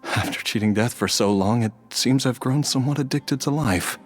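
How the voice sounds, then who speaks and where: A gentle family man worn down by illness, played by a male actor in a video game drama. His mid-pitched voice is earnest and solemn, halting with resignation, over faint music. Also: lightly acted. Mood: choked, resigned. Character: gentle, earnest ailing husband and father, resigned.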